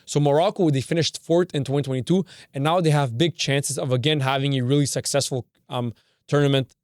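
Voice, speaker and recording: A man's voice: medium pitch, steady tone, brisk, no room sound, studio quality. A young man from Canada whose first language is Serbian, hosting a podcast in a rambling way, often correcting himself and swearing casually. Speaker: podcast host. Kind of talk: casual football talk.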